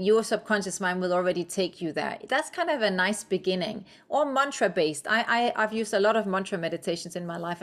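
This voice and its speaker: A woman from Denmark whose first language is Danish, a guest author and coach speaking English in a podcast interview, encouraging and reflective with frequent fillers. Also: medium pitch.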